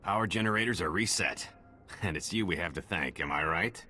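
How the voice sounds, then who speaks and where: An actor playing a gruff, commanding military engineering chief in a video game, blunt and brisk. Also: male voice, sits low, sharp tone, slight background noise. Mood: businesslike.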